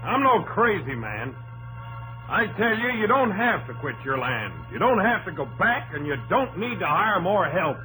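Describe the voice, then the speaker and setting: An actor playing a confident, businesslike American inventor pitching his machine with assertive ease in an old radio drama. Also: very animated, moderate pace, over music, poor audio. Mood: confident, brisk. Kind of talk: 1950s radio drama acting.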